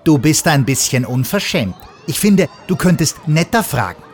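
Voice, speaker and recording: Ein Mann, der in einer Hörgeschichte ein empörtes, schimpfendes Schulmädchen spricht. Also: male voice, a little high, big pitch swings, slight background noise. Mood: reproachful. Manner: scolding, quick to take offense. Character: indignant young schoolgirl.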